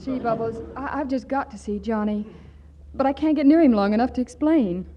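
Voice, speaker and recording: A woman's voice: fairly high pitch, fairly quick, close to an everyday voice. An actress playing an earnest young woman in love in a 1940s radio comedy, with a pleading delivery. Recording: lo-fi 1940s broadcast, hiss, studio audience.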